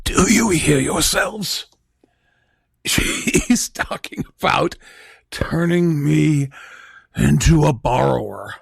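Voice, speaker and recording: An actor playing a mortally wounded man in an audio drama, weak and gasping, raspy, halting, with dry wry humour. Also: on the high side, dry recording, heavily acted. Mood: urgent, fading. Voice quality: raspy, gravelly.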